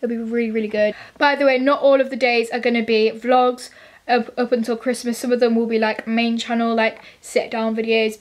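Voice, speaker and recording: A teenage girl from England chatting casually in a vlog, her voice croaky from illness, rambling with lots of fillers, filmed at home on her phone and then her camera. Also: on the high side. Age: teens. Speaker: vlogger. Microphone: handheld phone, then camera.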